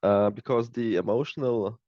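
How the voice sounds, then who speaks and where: A man's voice: fairly low pitch, normal speed, no room sound, dull audio. A man in his early twenties from Lithuania, speaking English with a Lithuanian accent, answering interview questions hesitantly as he searches for words. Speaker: startup co-founder, podcast guest.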